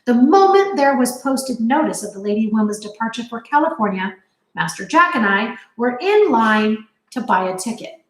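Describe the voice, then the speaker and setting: A woman's voice: a little high, big pitch swings, moderate pace. A woman voicing an unflappable butler who speaks politely and formally. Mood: composed. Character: unflappable, courteous gentleman's butler. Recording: roomy echo.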